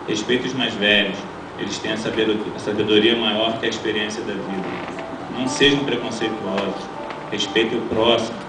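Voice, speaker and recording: A middle-aged man, a teacher, giving a formal graduation speech from a lectern in a large auditorium, earnest and measured as he reads from his notes. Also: fairly low pitch. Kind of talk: formal read-out ceremonial speech. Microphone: stand mic at lectern, filmed from the audience. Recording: echoey auditorium, from far off, noisy.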